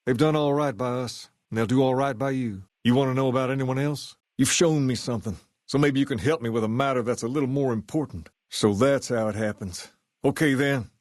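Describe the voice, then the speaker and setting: A man playing a laid-back, folksy gang boss in a video game, with a colloquial, quietly authoritative way of talking. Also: medium pitch, no room sound, clean studio sound, lightly acted. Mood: businesslike, confiding. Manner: easygoing, colloquial drawl of phrasing, quietly authoritative. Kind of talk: video game character dialogue. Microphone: close, dry studio microphone.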